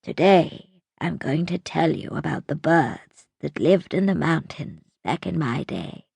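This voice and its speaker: Woman acting a frail, creaky old great-grandmother. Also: deep voice, animated, unhurried, no echo, full character voice. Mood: wistful. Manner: hesitant, soft-spoken, wistful.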